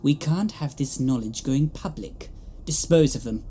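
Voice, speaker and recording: An actress playing a stern, sanctimonious alien nun in a sci-fi audio drama, with a commanding manner. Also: female voice, rumbling low, measured pace, dark tone, slight background noise. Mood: menacing, urgent. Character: stern, pious, domineering alien nun in charge of hospital.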